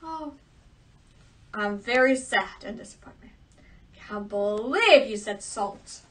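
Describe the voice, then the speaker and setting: Indignant old king, scolding, bright, slow, voiced by a woman. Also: a little high, animated, voice pushed down. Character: grumpy old king, a father demanding love. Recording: distant mic.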